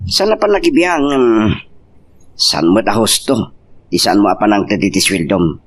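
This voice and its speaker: A man's voice: lowish voice, expressive, normal speed, breathy edge, distant mic. A man playing a nosy, scolding buddy in an audio drama, probing and exclamatory. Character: male buddy, nosy, scolding, excitable confidant. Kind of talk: Ilocano radio-style drama acting.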